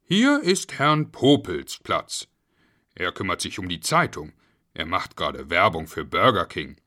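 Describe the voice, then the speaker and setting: Man acting a harried, strict dad, forceful and swooping. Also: sits low, very animated, a little slow, full character voice. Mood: presenting. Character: harried, strict working father, easily exasperated.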